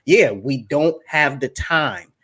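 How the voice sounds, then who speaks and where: A man's voice: mid-range voice, animated, slow pace, sharp tone, breathy edge. A young man talking casually and emphatically on a livestream, repeating words as he makes his points. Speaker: guest speaker on finance.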